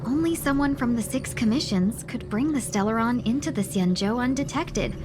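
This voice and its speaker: A woman playing a polite, silver-tongued merchant guide in a video game, speaking in formal, measured phrases. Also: on the high side, noisy background, natural voice. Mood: earnest. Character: courteous, silver-tongued young female merchant envoy and guide. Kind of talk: video game cutscene acting. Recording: music behind the voice. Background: music.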